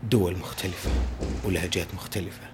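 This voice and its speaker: Fast-talking deep-voiced man. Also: steady tone, background noise. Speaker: voice-over artist, podcast guest. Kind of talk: casual conversation.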